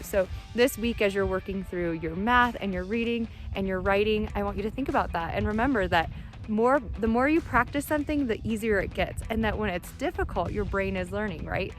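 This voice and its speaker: A woman teacher reading a storybook aloud with asides to children, expressive and encouraging, in simple child-directed phrasing. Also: medium pitch.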